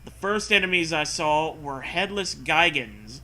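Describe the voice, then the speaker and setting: A man's voice: on the high side, animated, measured pace, sharp tone, slight background noise. A man reading a story aloud and breaking in with sarcastic, sweary casual commentary. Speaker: host reading and mocking fan fiction.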